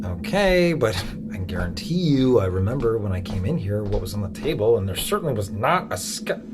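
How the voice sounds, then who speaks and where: A man's voice: on the low side, sing-song, a little fast. An actor playing a nervous, hesitant male scientist in an audio drama, stammering and unsure. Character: nervous, hesitant male research scientist under a creature's control. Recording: music under the voice.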